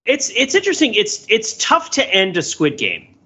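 A man's voice: sits high, wildly swooping pitch, moderate pace, sharp tone, slightly rough. A man talking casually as a podcast panelist, discursively explaining with examples and frequent check-ins.

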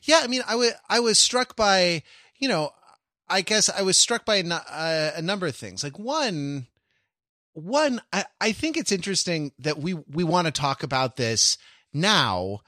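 A man co-hosting a podcast, chatting casually in a slightly high voice, with a close, dry sound. He wanders off on long tangents and keeps stopping mid-phrase to correct his own wording. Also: big pitch swings, a little slow, sharp tone, no room sound. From Los Angeles. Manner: rambling, digressive, self-correcting. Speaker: podcast co-host. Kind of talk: casual chat.

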